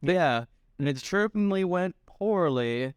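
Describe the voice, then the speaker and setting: Joking young man, smooth voice. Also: high-pitched, animated, slow pace, no echo, clean studio sound. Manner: teasing and joking, laughing often. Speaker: podcast co-host. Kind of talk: casual chat.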